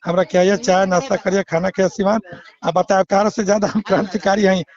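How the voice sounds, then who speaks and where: A man's voice: sits high, flat delivery, fairly quick, a little mellow. A man with a Bihari Bhojpuri accent in an informal phone discussion, placating and giving advice while talking over the other person. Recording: muffled phone call with crowd chatter.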